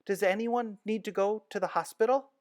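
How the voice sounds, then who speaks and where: A man's voice: high-pitched, normal speed, dry recording, studio quality, voice pushed up, natural voice. A man doing an eager little girl. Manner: bright, excited calls. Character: young girl, eager and bold.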